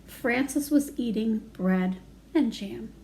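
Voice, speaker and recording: A woman's voice: a little high, takes it slowly, a little mellow, a little hiss or hum, rough recording. A woman reading aloud to young children as a storytime educator, singsong, with simple chatty asides.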